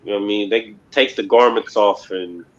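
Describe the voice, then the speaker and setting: A man's voice: lowish voice, fairly even intonation, a little fast, lo-fi sound, slight background noise. A man leading a religious class lecture, talking emphatically and rambling, with constant repeated fillers. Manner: rambling, emphatic, repeats "you know what I mean". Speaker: class instructor.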